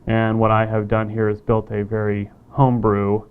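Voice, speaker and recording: A man casually demonstrating a radio kit, plain-spoken and relaxed, with frequent fillers. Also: lowish voice, normal speed, clean tone, phone-quality sound. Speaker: company staff demonstrating a kit.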